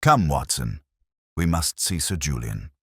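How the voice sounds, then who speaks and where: A man's voice: extremely low pitch, sing-song, unhurried, fairly bright tone, breathy edge, no echo, studio quality, heavily acted. A man voicing a coolly precise, commanding Victorian detective with curt, cerebral delivery in an audiobook. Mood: calm, reflective. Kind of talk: audiobook character dialogue.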